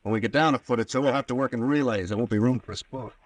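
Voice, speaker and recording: An actor playing a tough, sardonic police captain in an old-time radio horror drama, with a dry, commanding manner. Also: male voice, mid-range voice, very fast, a little hiss or hum, close to an everyday voice. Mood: brisk, commanding. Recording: vintage radio recording, distant mic.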